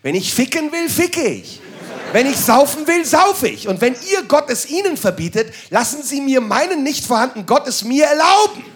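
A man's voice: very high voice, very animated, normal speed, fairly bright tone. A man doing a crude, sardonic stand-up monologue that moves into ranting. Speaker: stand-up comedian.

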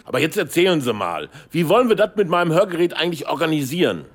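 An actor playing a crude, jovial, hard-of-hearing old pensioner who rambles shamelessly in a satirical radio play. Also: male voice, fairly high pitch, big pitch swings, fast talker. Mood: cheeky, chatty. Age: older.

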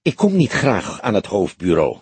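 A young police detective, played by a male reader, sounds earnest and easily irritated. His voice is very husky, with lively pitch movement and clipped, eager phrasing for an audiobook. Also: normal speed, no echo, exaggerated voice. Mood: grumbling. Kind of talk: audiobook character dialogue.

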